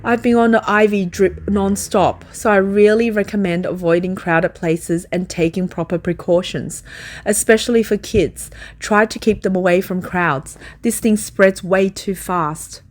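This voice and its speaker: A woman delivers a scripted voice-over of personal testimony. Her voice sits at an average pitch for a woman. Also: fairly even intonation, measured pace. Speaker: voice-over reader of translated testimony.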